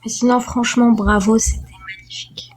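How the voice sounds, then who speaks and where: A woman's voice: fairly high pitch, little pitch movement, fairly quick, a little mellow. Earnest woman on a voice call. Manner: earnest, explains at length with fillers. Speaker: debate panelist giving feedback. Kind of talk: casual live-stream discussion.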